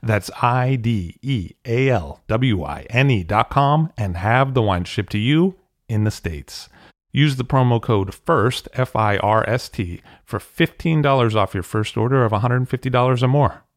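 A man hosting a podcast, asking brisk, upbeat questions and reading ads. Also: medium pitch, lively intonation, no room sound. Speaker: podcast host. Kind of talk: podcast interviewing and ad read. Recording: dry close-mic studio sound.

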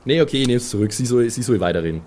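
A man's voice: mid-range voice, lively intonation, rapid-fire, darkish tone, a little hiss or hum. A man playing a tabletop role-playing game, talking casually and hesitantly, often repeating himself. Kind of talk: casual improvised game talk.

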